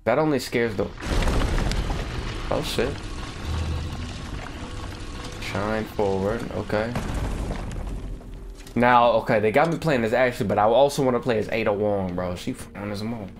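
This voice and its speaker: A man's voice: fairly low pitch, a little slow, pauses often, over music. A young man giving loose, profane let's-play commentary from a home gaming setup with a webcam. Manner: loose, slangy, profane, excitable. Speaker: gaming streamer.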